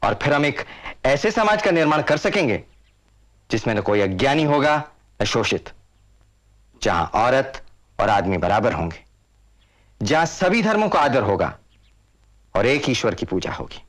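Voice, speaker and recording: An actor playing a passionate, indignant social reformer in a period drama, declaiming like an orator. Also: male voice, medium pitch, very animated, measured pace, pauses often, a bit bright. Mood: earnest oratory. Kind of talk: Hindi period drama acting.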